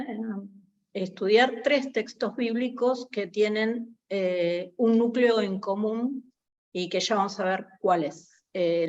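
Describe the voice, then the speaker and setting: An Argentine woman teaching a theology class online. She speaks slowly and hesitantly, stopping on frequent fillers between phrases. The sound is slightly muffled, as over a video call. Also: mid-range voice. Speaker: guest lecturer.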